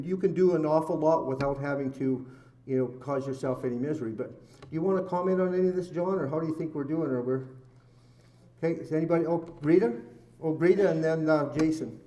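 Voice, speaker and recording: A man's voice: fairly high pitch, dark tone. A man chairing a community meeting, talking earnestly and at length. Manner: earnest, talkative, rambling.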